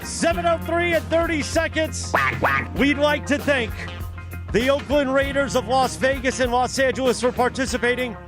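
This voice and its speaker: A man's voice: extremely high pitch, normal speed, over music. A man co-hosting sports radio, with wry, mock-formal announcing in a casual chat. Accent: American.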